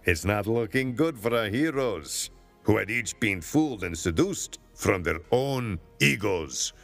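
An actor playing a sardonic, folksy storyteller who narrates a video game tale with dramatic drawl. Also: male voice, fairly low pitch, animated, measured pace, gravelly, fairly bright tone, faint music behind, heavily acted. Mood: wry, ominous.

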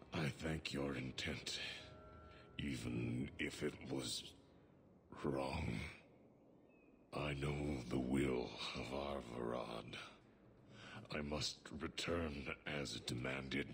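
Hugely deep, slow horned giant. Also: male voice, full character voice. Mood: solemn, grave. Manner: halting, grave, terse, deliberate phrasing. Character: solemn horned giant warrior mage, resigned to death. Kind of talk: fantasy video game acting. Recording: game audio with noticeable ambient noise.

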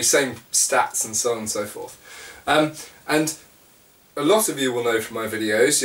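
A British man in his forties who hosts a channel, talking casually to camera with a congested voice while recovering from a lost voice, in a small indoor room. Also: mid-range voice. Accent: British English. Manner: relaxed, explanatory, with many fillers. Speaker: channel host. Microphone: camera mic at a short distance. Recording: echoey room, slightly distant mic.

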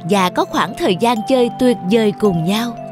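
A woman narrates children's fairy tales in a fairly high voice. It is scripted storytelling, with music clearly playing behind her. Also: expressive, moderate pace. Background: background music.

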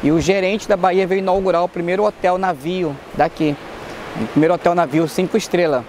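A middle-aged man chef chats about his restaurant and gestures as he talks. His voice is high for a man. He is recorded outdoors on a lapel mic, with faint background noise. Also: fairly even intonation, fairly quick, a little mellow. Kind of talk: on-camera interview. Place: outdoor square by a market.

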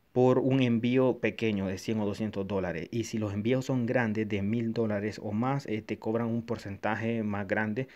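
A man's voice: on the low side, darkish tone, highs cut off. A man from Honduras giving a casual, hesitant review of a wallet app over a screen recording, with many fillers and restarts. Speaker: tech reviewer.

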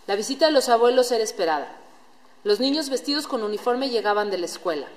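A woman's voice: a little high, animated, fast. A woman reading scripted storytelling narration for a radio play. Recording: echoey hall with room noise.

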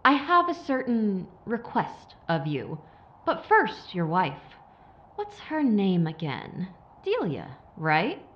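A woman's voice: mid-range voice, huge pitch swings, takes it slowly, muffled recording. A woman playing a sly, menacing corporate operative in an audio drama, taunting with mock sympathy. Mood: taunting menace. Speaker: villain.

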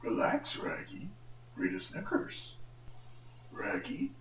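A man voicing a big, deadpan cartoon dog with a growly, muffled voice that turns first letters into R. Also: takes it slowly, slight background noise. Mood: deadpan, bossy. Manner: starts words with R, deadpan. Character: big laconic talking cartoon dog, bossy and unbothered. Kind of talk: cartoon comic dub. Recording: roomy echo, loud music behind.

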